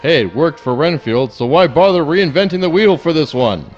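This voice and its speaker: A man acts a bombastic movie trailer announcer with wry asides, animated in pitch. The sound is slightly dull and lo-fi, with music behind. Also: normal speed. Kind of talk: parody trailer voice-over.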